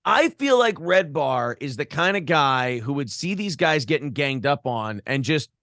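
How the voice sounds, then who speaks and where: A man's voice: on the high side, lively intonation, measured pace, a bit bright, no room sound, studio quality. A man chatting casually as an interviewed podcaster, giving blunt, profane opinions. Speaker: podcast host being interviewed.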